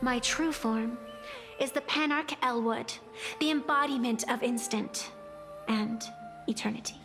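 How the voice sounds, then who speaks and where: A woman's voice: on the high side, unhurried, music in the background. A woman voicing an aloof, playful rabbit-eared fey girl in an anime game, speaking in grand riddles. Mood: grandiose.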